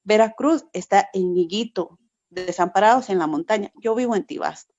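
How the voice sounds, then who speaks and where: A woman's voice: medium pitch, normal speed, darkish tone, lo-fi sound. A woman from Peru, a pastor, giving an earnest testimony in a forum over a video call, often repeating herself and adding "verdad". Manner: earnest, repetitive, frequent "verdad".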